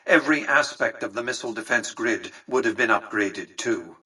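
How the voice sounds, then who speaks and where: An actor playing a calculating, condescending old tycoon in a video game, speaking in formal, precise, pompous sentences. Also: male voice, mid-range voice, normal speed, pushed and bright, slightly rough, far from the mic, full character voice, rough recording. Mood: proud, reflective. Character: imperious, calculating old male tycoon ruling a city. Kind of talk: scripted video game dialogue.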